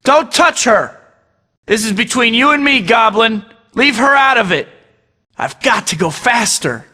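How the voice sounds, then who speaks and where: A man's voice: very high voice, expressive, measured pace, bright, forceful tone, long reverb tail, full character voice. An actor voicing a young male superhero who tosses out sarcastic taunts in a video game. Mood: angry. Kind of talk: game voice clips.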